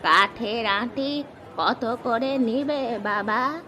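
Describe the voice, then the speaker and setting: A woman voicing a gentle old village grandmother in a cartoon fairy tale, with outdoor market ambience. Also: a little high, extremely slow, a little hiss or hum, full character voice.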